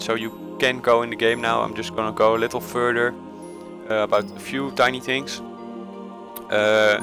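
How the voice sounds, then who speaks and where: A man from the Netherlands with a Dutch accent, casually talking through a game tutorial with many hesitant fillers and self-corrections. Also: on the low side. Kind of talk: casual screen-recorded tutorial voice-over.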